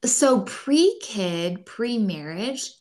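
A woman's voice: fairly high pitch, very animated, extremely slow, a little mellow. A young woman co-hosting a podcast, chatting in an earnest, agreeable way and backing up her partner often. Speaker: podcast co-host. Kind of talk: casual chat.

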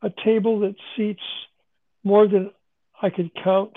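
A man with a hoarse, worn-out voice chatting casually as a podcast host, halting and restarting as he talks. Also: sits high, little pitch movement. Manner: halting, many restarts and fillers. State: hoarse, losing his voice. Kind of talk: casual chat. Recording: phone-quality call line.

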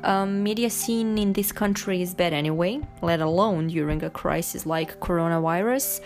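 A woman's voice: on the low side, moderate pace. A young woman from Serbia giving her opinion as an interviewee, thoughtful and a little hesitant. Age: young adult. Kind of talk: recorded opinion. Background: music.

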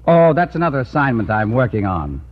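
An actor playing a blunt, self-assured magazine photographer in an old radio comedy. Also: male voice, mid-range voice, very animated, fairly quick. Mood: businesslike. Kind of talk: 1940s-50s radio comedy acting. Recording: muffled, noisy old radio recording.

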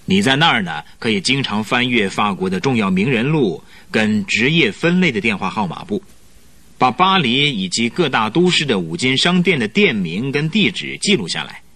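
An actor playing a hearty, scheming company director in a radio drama, with a robust husky voice and a patronizing, persuasive, chuckling manner. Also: male voice, medium pitch, very animated, moderate pace, sharp tone, full character voice. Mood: brisk, instructing. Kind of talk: Mandarin radio drama acting.

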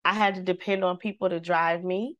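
A woman, a singer, telling a personal story in casual conversation, lively and devout, acting out the voices she quotes. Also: fairly low pitch, fairly quick, highs cut off. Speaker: guest.

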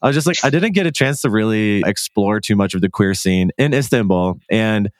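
Low-voiced man, gushing casual podcast host. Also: animated, fairly quick, no room sound, clean studio sound. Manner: gushing, informal, frequent 'like'. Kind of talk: casual podcast interview chat.

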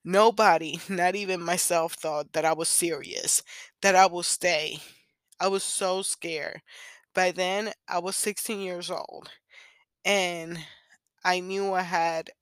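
Woman, thirties, Puerto Rican accent, native Spanish speaker, unhurried pace, dry close sound, candid conversational podcast host. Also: on the low side, fairly even intonation, no echo. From Puerto Rico. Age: 30s. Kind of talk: personal storytelling, casual solo podcast.

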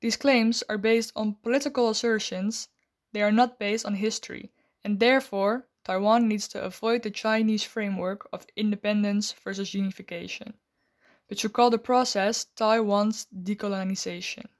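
A woman host giving a scripted, measured explanation and then asking interview questions. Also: mid-range voice, steady tone.

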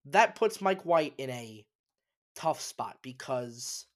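A man's voice: mid-range voice, sing-song, takes it slowly. A man hosting a solo sports talk show, congested and sniffly, giving emphatic opinions with frequent restarts. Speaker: sports podcast host.

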